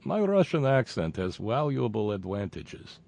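Man, show host, sarcastic and profane, mocking rants, deeper voice, dry recording. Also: big pitch swings, measured pace, creaky, dry recording. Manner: mocking, builds into loud rants then drops to muttering; profane, many fillers and restarts. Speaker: livestream show host reading viewer comments. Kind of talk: sarcastic political commentary.

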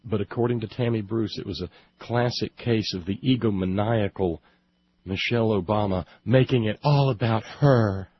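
A man hosting a political call-in radio show solo, in a sardonic, mock-exasperated way with loose, emphatic, halting delivery and dull lo-fi radio sound. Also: lowish voice, breathy edge, no echo.

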